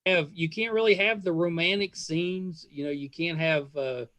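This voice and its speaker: A man with an American accent hosting a casual interview, agreeable and somewhat halting as he talks. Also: on the high side, pushed and bright. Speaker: podcast host.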